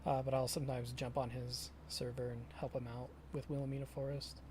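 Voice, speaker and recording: Young guy streaming, mellow low-key voice, stops and restarts a lot, bit of background hiss. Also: level tone. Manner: halting, self-correcting, chatty with viewers. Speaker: game streamer. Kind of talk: casual live-stream commentary.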